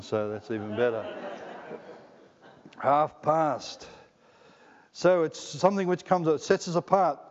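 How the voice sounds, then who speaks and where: Middle-aged Australian preacher in a reverberant hall. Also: male voice, medium pitch, darkish tone. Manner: conversational, joking, asks the congregation questions. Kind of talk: sermon. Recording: dull lo-fi recording in a reverberant hall.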